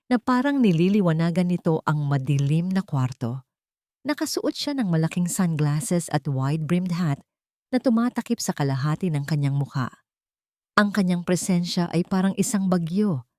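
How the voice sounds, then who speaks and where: A woman's voice: low-pitched, mellow, no room sound, studio quality. A woman narrating a dramatic romance audiobook from a script.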